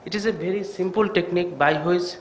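Middle-aged guy from bangladesh giving a conference lecture at a podium, fairly high voice, echoey hall. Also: slight background noise. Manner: earnest, measured, slightly halting. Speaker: eye surgeon giving a conference talk. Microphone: podium microphone. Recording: echoey hall with faint ambience.

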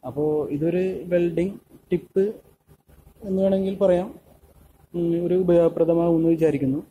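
Malayali man, somewhat high but very dark and mellow voice, teaching welding in a shop with faint noise; halting, lots of fillers. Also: steady tone, poor audio. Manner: halting, many filler sounds and restarts. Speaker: welding instructor. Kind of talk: hands-on tutorial voice-over. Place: workshop at a welding table.

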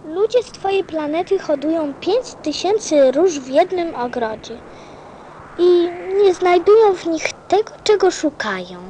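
Slow, high-voiced little boy prince. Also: very animated, dark tone, slight background noise, exaggerated voice, low-quality recording. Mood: solemn, wistful. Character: gentle, earnest little prince, a small boy. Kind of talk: radio play acting. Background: music.

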